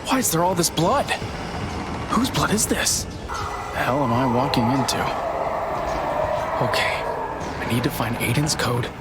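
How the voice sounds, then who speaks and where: A man's voice: medium pitch, sing-song, measured pace, long pauses, over loud music. An actor playing an anxious, pleading young man haunted by family trauma in a dramatic video game. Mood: shocked, fearful. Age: young adult.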